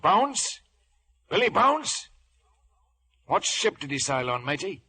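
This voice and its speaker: An actor playing a wily, jovial one-legged sailor turned inn cook in a radio adventure, with a gravelly voice and folksy, ingratiating nautical talk. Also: male voice, a little high, big pitch swings, slow pace, pushed and bright, full character voice. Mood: smooth, crafty. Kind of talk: old-time radio adventure acting.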